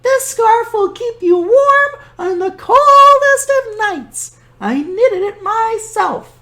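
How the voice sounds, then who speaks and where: A woman voicing a kindly, doting old woman in a storybook, speaking in a high, sing-song way. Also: lively intonation, slow pace, pushed and bright, heavily acted. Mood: cheerful. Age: older. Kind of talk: storybook character voice.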